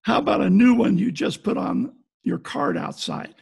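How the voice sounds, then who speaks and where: An actor playing a blunt, wry private detective in an old-time radio play, with clipped noir delivery. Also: male voice, high voice, animated, moderate pace, vocal fry, a little mellow, husky, heavily acted. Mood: impatient, blunt. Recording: home video-call recording.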